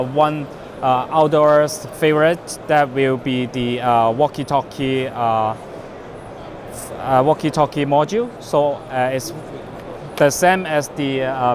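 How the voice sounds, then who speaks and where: Halting non-native man over clear background noise. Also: on the high side. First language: not English. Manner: halting, hesitant delivery with broken phrasing. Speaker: company representative presenting a product. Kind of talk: unscripted product demonstration. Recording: noisy trade show floor.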